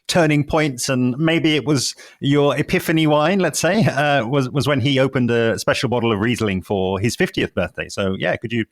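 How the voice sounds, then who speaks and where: A man's voice: mid-range voice, moderate pace, hardly any pauses. A man hosting a podcast interview, prompting his guest in an encouraging, affirming way. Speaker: podcast host. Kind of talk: conversational interview.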